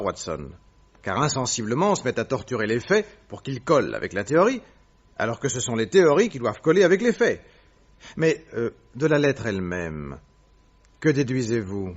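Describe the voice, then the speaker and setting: An actor playing a brilliant, coolly logical detective in a radio drama, didactic and probing. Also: male voice, medium pitch, sing-song, brisk. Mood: didactic, keen. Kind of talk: vintage French radio drama acting. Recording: dull old radio broadcast recording.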